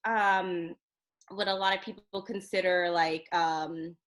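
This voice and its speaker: A woman talking on a podcast as a guest, an animated storyteller who laughs often and speeds up in bursts. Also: on the low side, slow. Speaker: podcast guest, youth journalism program director. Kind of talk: casual interview chat.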